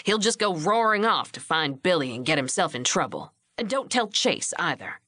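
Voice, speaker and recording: A woman's voice: on the low side, very animated, moderate pace, sharp tone, no room sound, studio quality, full character voice. A woman voicing an older male ranch patriarch in a storybook, scheming and firm with easy authority. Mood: commanding.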